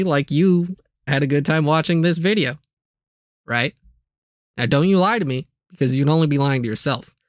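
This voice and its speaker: A man's voice: mid-range voice, no room sound, clean studio sound. A man delivering a casual, comedic scripted video review, with jokey asides and playful exaggeration.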